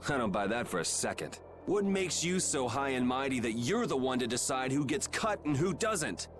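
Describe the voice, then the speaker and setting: A man's voice: medium pitch, sing-song, normal speed, natural voice. Man playing a defiant, sardonic young swordsman, noisy background. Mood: challenging. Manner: casual, cocky, challenging. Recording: clear background noise under game dialogue.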